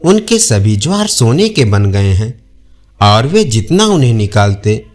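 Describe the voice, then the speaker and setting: A male storyteller reading a scripted folk tale. His delivery is unhurried, with a lively rise and fall in pitch. Music plays behind the narration.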